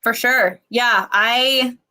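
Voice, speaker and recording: A young woman in her twenties, from Mexico and raised in the States, talks earnestly as a guest and stops often on "um". Her voice is bright and sits close and dry, with no room sound around it. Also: fairly high pitch, dry recording. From Mexico, raised in the United States. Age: 20s. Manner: earnest, many "um" pauses. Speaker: guest poet. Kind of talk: casual interview chat.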